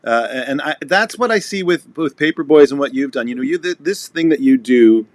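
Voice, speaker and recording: A man hosting a casual chat as a concert presenter, encouraging and quick to agree with his guest. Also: medium pitch, lively intonation, dry recording. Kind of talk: casual conversational interview.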